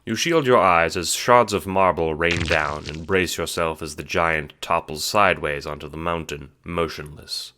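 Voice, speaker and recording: Male narrator, deep register, scripted second-person storytelling, audio drama. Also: moderate pace, few pauses, sharp tone.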